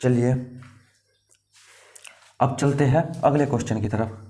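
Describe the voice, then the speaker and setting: A man's voice: mid-range voice, steady tone, unhurried, warm tone. A man teaching an exam lesson step by step, repeating key phrases and often checking in with the listener.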